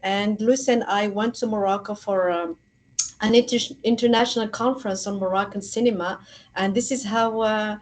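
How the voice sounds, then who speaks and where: A woman's voice: medium pitch, steady tone, moderate pace. A woman explaining her work conversationally as an academic guest in a radio interview. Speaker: returning guest, academic festival organizer.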